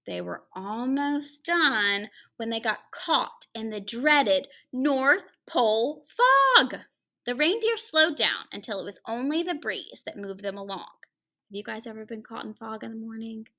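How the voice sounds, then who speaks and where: A woman reads a children's story aloud in a high, bright voice with very lively pitch. She plays up the drama with pauses and drops into hushed, chatty asides to the young listeners. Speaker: teacher reading a picture book aloud to children.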